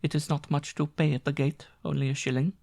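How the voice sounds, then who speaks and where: A man voicing a small, quiet young foreign woman with a gentle accent. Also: a little high, level tone, a little fast, creaky, pitched above the performer's own voice. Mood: soft. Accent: foreign accent put on by reader.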